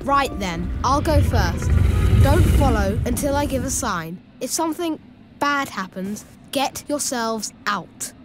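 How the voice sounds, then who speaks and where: A brave young boy wizard in a video game. The voice is high and lively, with an earnest air. Loud music runs underneath. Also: slow pace. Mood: determined.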